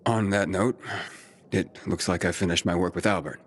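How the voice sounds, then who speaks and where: An actor playing a plain-spoken, honest young male survivor who talks in a low-key, candid way. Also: steady tone, normal speed, a little hiss or hum, natural voice.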